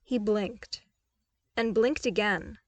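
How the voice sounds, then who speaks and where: A woman's voice: mid-range voice, slow pace, dry recording. A woman reading scripted fiction expressively as an audiobook narrator, close to the microphone.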